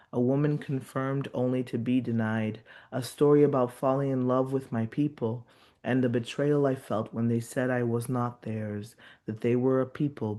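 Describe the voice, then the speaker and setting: A man reading his poetry in a measured, incantatory way, as a guest on a video call. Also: mid-range voice, mellow.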